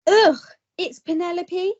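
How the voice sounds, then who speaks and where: A woman's voice: extremely high pitch, takes it slowly, a little mellow. A woman playing an innocent, reluctant young maiden in a radio melodrama, prim and fluttery. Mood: disgusted, reluctant. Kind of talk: melodrama radio play acting. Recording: dull lo-fi recording.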